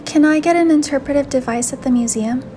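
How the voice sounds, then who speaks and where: A woman's voice: sits high, quick pace, dark tone, a little hiss or hum, lightly acted. A woman playing a shy, curious young heroine in a game story, speaking her dialogue and inner thoughts. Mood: hesitant, polite. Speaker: voice of the player heroine, fan narration. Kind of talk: acted visual novel dialogue and inner monologue.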